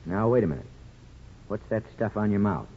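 An actor playing an earnest, devoted small-town husband in 1950s radio drama, speaking sincerely and a little haltingly. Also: male voice, on the low side, lively intonation, a little hiss or hum. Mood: affectionate. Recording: muffled 1950s radio recording, faint music.

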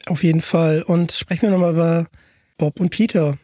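A man's voice: fairly high pitch, steady tone, normal speed, mellow, dry recording, studio quality. A man hosting a podcast in a casual, conversational style. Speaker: podcast host.

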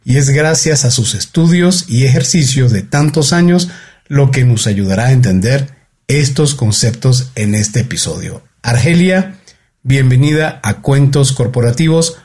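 A man hosting a corporate podcast, reading a measured, formal introduction before chatting with his guest. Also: mid-range voice, lively intonation, a little mellow, slightly rough. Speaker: podcast host.

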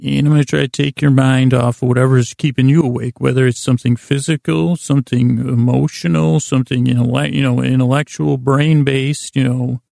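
A middle-aged American man hosting a sleep podcast, rambling in a gravelly voice with halting, self-deprecating detours that trail off and circle back. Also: mid-range voice, flat delivery, dark tone, dry recording, clean studio sound. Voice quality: gravelly, creaky. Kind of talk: rambling, meandering bedtime monologue.

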